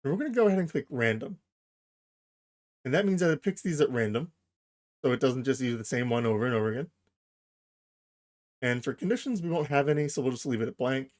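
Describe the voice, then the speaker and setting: A man narrating a casual software tutorial, explaining things informally, step by step, as its host. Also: medium pitch, expressive, a little fast, pauses often.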